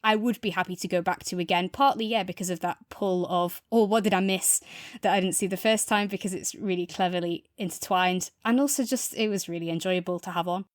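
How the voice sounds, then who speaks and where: A woman's voice: fairly low pitch, a little fast, hardly any pauses, no echo. Chatty woman co-host, dry close sound. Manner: chatty, informal, explains at length with asides. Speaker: podcast co-host. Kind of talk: casual chat, audio drama review.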